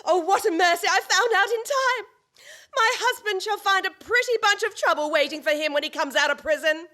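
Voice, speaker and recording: A woman's voice: very high voice, fairly quick, sharp tone. A woman playing an indignant, vengeful wife in a farcical radio play, declaiming theatrically with many exclamations. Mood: bitterly indignant. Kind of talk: stage farce performed as radio play.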